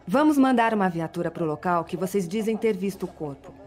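An actress playing a composed, businesslike police sergeant in an audio drama, formal and measured. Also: female voice, medium pitch, expressive, darkish tone, natural voice. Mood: calm, official.

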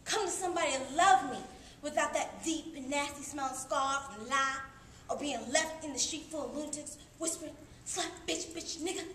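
High, bright-voiced woman performing dramatic monologue. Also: fairly quick. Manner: emotive, swinging between hushed and loud delivery. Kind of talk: dramatic theatrical poetry monologue. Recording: echoey room with faint background noise.

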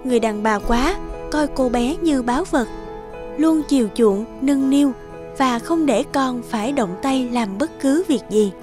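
Woman narrating a fairy tale, slightly high voice, kids music playing behind. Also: measured pace. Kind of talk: scripted folk-tale narration.

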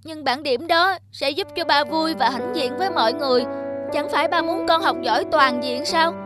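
Cartoon son role, female actor: high-voiced schoolboy, earnest, pleading, music underneath. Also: moderate pace, lightly acted. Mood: guilty, pleading. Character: earnest, apologetic schoolboy son, eager to please. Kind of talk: animated moral drama acting.

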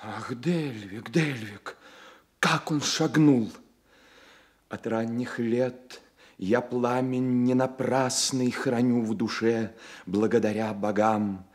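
Radio drama lead: male actor as excitable young poet, mid-pitched, slow and declamatory. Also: lightly acted. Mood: rapt, admiring. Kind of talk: radio play acting, verse declamation.

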